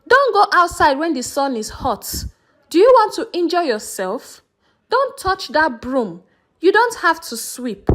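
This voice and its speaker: A woman's voice: very high voice, very animated, a little slow, fairly bright tone, voice pushed up, exaggerated voice. A woman voicing a proud, doting and combative village mother in a folktale, scolding and insistent. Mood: fussing.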